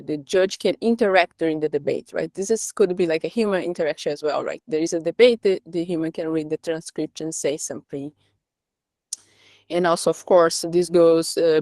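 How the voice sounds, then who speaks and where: Brazilian woman presenting, lowish voice, keeps saying "right?", dry close mic. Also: a little mellow, dry recording. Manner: hesitant, many restarts and "right?" tags. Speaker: speaker. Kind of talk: academic talk.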